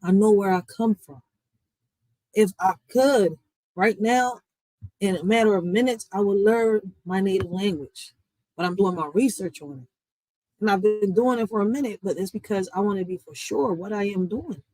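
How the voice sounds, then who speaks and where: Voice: mid-range voice, moderate pace, a little mellow, dry recording, rough recording. American vlogger, preachy, urging viewers, dry close mic. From United States. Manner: preachy, urging the audience directly. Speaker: vlogger commenting on a clip. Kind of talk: casual commentary to viewers.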